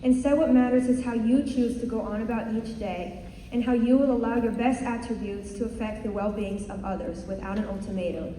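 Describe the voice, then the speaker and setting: An earnest teenage girl reads her prepared speech in measured phrases, her voice dark and mellow. She sounds set back from the mic, with a long echo from the school hall around her. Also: a little high, a little hiss or hum. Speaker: student candidate giving a speech. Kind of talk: prepared speech read aloud. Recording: echoey hall, distant mic.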